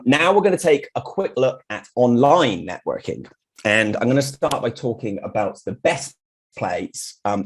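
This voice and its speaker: A middle-aged British man giving an informal presentation from his own experience as a designer, in a candid, blunt way. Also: medium pitch. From United Kingdom. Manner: candid, blunt, mildly sweary. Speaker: freelance graphic designer giving a meetup talk. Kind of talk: informal presentation with personal anecdotes.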